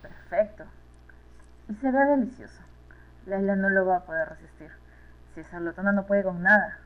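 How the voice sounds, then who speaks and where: A woman's voice: mid-range voice, normal speed, lightly acted. A woman playing a bossy, scheming, menacing female villain in an amateur radio play. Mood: conspiring. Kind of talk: amateur Spanish-language radio play acting. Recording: tinny phone-like lo-fi recording.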